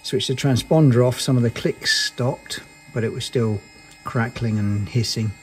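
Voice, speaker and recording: A man's voice: medium pitch, unhurried, soft background music, rough recording. A man giving an informal technical explanation in a matter-of-fact, understated and somewhat hesitant way, as a maintenance engineer. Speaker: aircraft maintenance engineer presenting.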